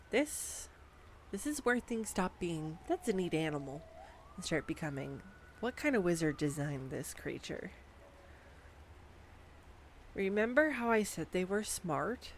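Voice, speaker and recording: Brief: woman, girlfriend roleplay, excitable and playful, unhurried delivery, faint room hiss behind. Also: lowish voice. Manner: bubbly, excitable and playful, gushing over facts. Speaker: audio roleplay voice actress. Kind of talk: scripted girlfriend roleplay monologue. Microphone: studio condenser mic.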